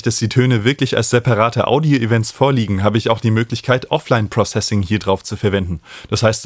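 Man, thirties, lowish voice, methodical tutorial explanation, very little room sound, close microphone. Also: dry recording. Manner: orderly, step-by-step explaining. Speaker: host and music production tutor. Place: small home studio room, indoors. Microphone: studio microphone close on a stand.

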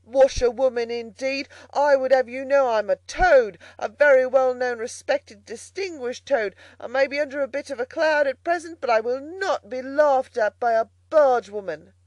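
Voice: mid-range voice, sing-song, measured pace, hardly any pauses, darkish tone, no echo. A narrator voicing a conceited, hot-tempered male toad from a storybook, pompous and indignant. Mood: furious, pompous.

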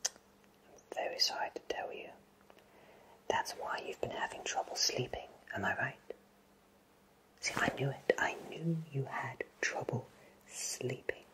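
A man playing a friendly, absent-minded wizard who runs a potion shop, speaking in a soft breathy whisper for an ASMR roleplay, gently and reassuringly. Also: medium pitch, poor audio. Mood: soothing, sympathetic. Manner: slow, gentle, hesitant, reassuring.

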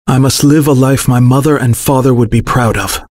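A man's voice: mid-range voice, fairly even intonation, moderate pace, darkish tone, no room sound, close to an everyday voice. A dutiful young hero from a video game, played by a man. His voice sits mid-range with a polite, earnest delivery. The sound is dry and close. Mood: sincere.

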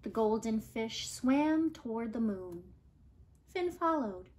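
A woman in her thirties reading a picture book aloud to children in an expressive, deliberate storytelling style. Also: on the high side, a little hiss or hum. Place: living room at home, indoors.

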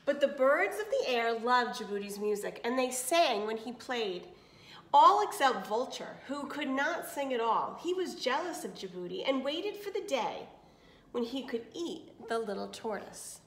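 A woman's voice: a little high, very animated, a little slow, a bit bright. A woman reading a picture book aloud to children as a storyteller, expressively, with little asides and questions. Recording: echoey room.

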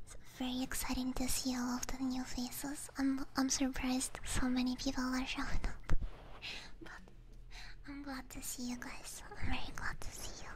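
A woman hosting an ASMR livestream in a soft, breathy whisper, speaking gently and very close to a binaural microphone. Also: sits high, completely flat delivery, normal speed, poor audio. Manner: soft, gentle personal attention. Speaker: livestream host. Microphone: close binaural ear microphone.

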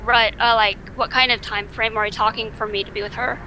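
A woman's voice: fairly high pitch, steady tone, a little fast, sharp tone, a little hiss or hum, low-quality recording. A woman with an American accent chatting on a podcast, speaking hesitantly with frequent restarts. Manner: hesitant, worried, restarts often. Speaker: fanfiction writer, podcast guest. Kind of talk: casual group chat over voice call.

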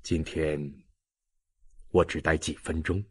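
A man voicing a dejected, evasive old man in an audiobook, speaking in a halting, subdued way. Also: very deep voice, flat delivery, a little mellow, breathy edge, dry recording, clean studio sound, pitched below the performer's own voice, full character voice. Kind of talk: audiobook character dialogue.

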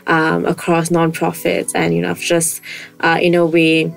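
Flat, gravelly young woman, many fillers. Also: low voice, normal speed, faint music behind. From Bangladesh. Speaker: head of partnerships, interview guest. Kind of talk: interview answers.

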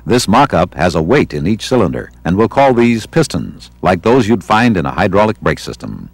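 Male American training film narrator, low and slightly husky voice, measured teaching delivery, dry studio sound. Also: big pitch swings, no echo. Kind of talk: scripted instructional narration. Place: studio voice-over.

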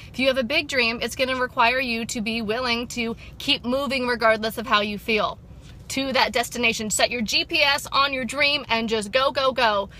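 Bright-voiced upbeat woman vlogging from a car. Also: fairly high pitch, steady tone, normal speed, a little hiss or hum. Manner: upbeat, encouraging, chatty with many fillers. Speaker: sobriety coach and vlogger. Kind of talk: casual motivational vlog. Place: parked car interior. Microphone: phone camera at arm's length.

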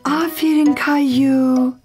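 A woman voicing a young boy's kind mother in a children's cartoon dub, gently instructive. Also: high voice, fairly even intonation, extremely slow, soft background music, exaggerated voice. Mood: encouraging.

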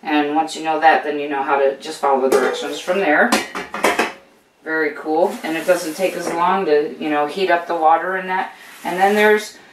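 A woman's voice: low voice, steady tone, moderate pace, distant mic, noisy background. An older woman hosting a casual homesteading how-to, chatting encouragingly as she shows gear, with plenty of fillers and restarts. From United States. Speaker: homesteading channel host. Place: home kitchen with steel counters, indoors. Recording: roomy echo, voice off-mic.

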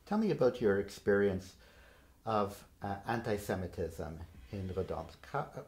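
A man interviewing off camera, prompting with soft, measured questions. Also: fairly low pitch, expressive.

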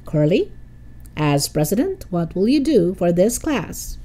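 Woman as a cheerful male teacher, smoothly mellow. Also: on the low side, big pitch swings. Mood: formal. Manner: measured, formal, encouraging. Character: cheerful male schoolteacher moderating a class debate.

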